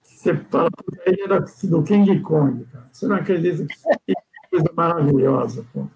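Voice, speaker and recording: Gravelly high-voiced older man rambling. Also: expressive, a little fast, darkish tone, poor audio. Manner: rambling, frequent "né?" tags and restarts. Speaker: guest sailor. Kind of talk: casual storytelling chat.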